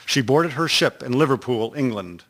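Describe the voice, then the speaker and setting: A man's voice: medium pitch, expressive, moderate pace, fairly bright tone. A man reading scripted historical narration for a radio drama series.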